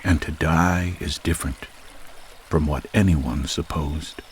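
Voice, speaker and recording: A man reciting poetry dramatically as a voice artist, with measured, declamatory delivery and deliberate pauses between phrases. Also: extremely low pitch, fairly even intonation, slight background noise. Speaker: voice artist reading poetry aloud. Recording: music and nature soundscape behind voice. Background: music, chirping insect sounds.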